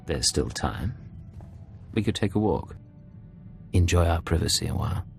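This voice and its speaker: Video game spy: commanding, measured, gravelly bass with swooping intonation. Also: male voice, lively intonation, soft background music. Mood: soft, intimate. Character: calm, commanding male imperial secret agent, flirtatious off duty. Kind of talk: video game cutscene acting.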